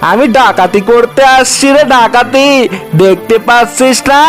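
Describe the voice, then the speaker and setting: A man's voice: extremely high pitch, a little slow, a bit bright, soft background music. A man voicing a bossy, boastful fox thief in a comic cartoon, blustering and scolding. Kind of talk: comic Bengali cartoon dialogue.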